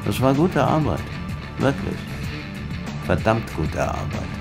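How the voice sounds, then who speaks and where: An actor playing a firm, formal police chief in a German audio drama, brusque and authoritative. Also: male voice, medium pitch, big pitch swings, takes it slowly, gravelly, loud music behind the voice, heavily acted. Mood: gruffly appreciative. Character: gruff authoritative male police chief, secretly fair.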